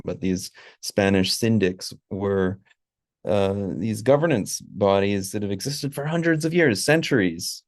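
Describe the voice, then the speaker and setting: A man's voice: deep voice, vocal fry, a little mellow, no echo, studio quality. A man speaking as a panelist, rambling and leaning on fillers as he thinks aloud.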